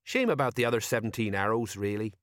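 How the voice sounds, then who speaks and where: A man's voice: lowish voice, expressive, fast talker, a bit bright, no echo, studio quality. A man voicing a defensive, chatty older working-class police sergeant who rambles in colloquial, folksy speech, for an audiobook. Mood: nostalgic.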